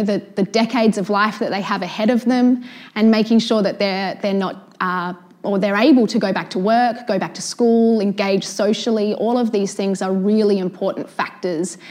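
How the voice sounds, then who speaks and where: Articulate woman researcher, mid-pitched. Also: darkish tone. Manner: articulate and assured. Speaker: researcher guest. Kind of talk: podcast interview.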